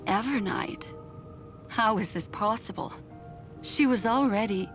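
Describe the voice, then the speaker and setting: A woman's voice: on the high side, a little slow, soft background music, natural voice. A woman voicing a serious, observant and reserved young woman in a video game dub. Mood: startled.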